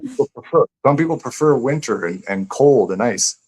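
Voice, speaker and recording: A man with an average-pitched voice talks casually as a guest author in a live interview. He is reflective and tends to ramble, circling back and repeating phrases while he works out what he means. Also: animated, normal speed, darkish tone, breathy edge, low-quality recording.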